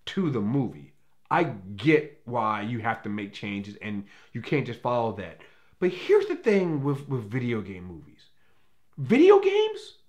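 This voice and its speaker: A man speaking off the cuff as a film reviewer, opinionated and emphatic, with casual swearing. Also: on the high side. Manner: profane. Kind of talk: casual unscripted review commentary.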